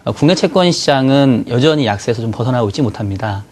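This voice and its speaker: A man with a cold-roughened voice, a bond strategy guest in a TV studio, explaining market moves in a measured way with many fillers. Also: medium pitch, animated, a little mellow, slight background noise. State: hoarse from a cold. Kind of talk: financial market analysis.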